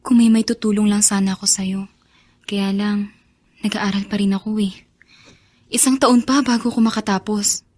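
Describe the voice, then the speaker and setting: A woman acts out a young Filipino student sweetheart in a radio drama. She is gentle, concerned and supportive, in a medium-pitched voice. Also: steady tone, normal speed, long pauses, lightly acted. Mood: concerned, tender. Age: young adult.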